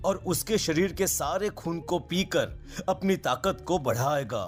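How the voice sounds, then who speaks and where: Male actor as ominous priest, fairly high male voice, solemn commands, horror cartoon, faint music. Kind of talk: animated horror story dub.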